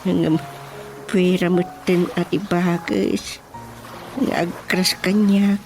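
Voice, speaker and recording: A woman's voice: lowish voice, flat delivery, takes it slowly, darkish tone. A woman playing a flustered, stammering character in a radio comedy drama, full of groans and exclamations. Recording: clear music behind the voice.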